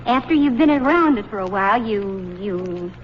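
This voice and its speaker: An actress playing a gentle, fretful young housewife and mother in a 1940s radio comedy, with an anxious, coaxing way of talking. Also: mid-range voice, lively intonation, normal speed, natural voice. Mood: gently disapproving. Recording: muffled, noisy vintage radio recording.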